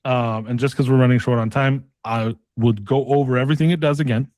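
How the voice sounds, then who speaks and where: A man's voice: mid-range voice, steady tone, brisk, a little mellow, no room sound. Persistent male sales coach, dry close sound. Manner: pushy, persistent, uses tag questions; casual, colloquial phrasing. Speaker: sales coach demonstrating a mock pitch. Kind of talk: sales call role-play pitch.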